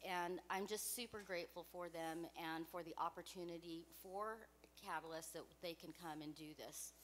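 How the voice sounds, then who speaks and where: A woman's voice: on the low side, steady tone, moderate pace, a little hiss or hum. A woman speaking gratefully to a community gathering. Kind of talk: audience testimony.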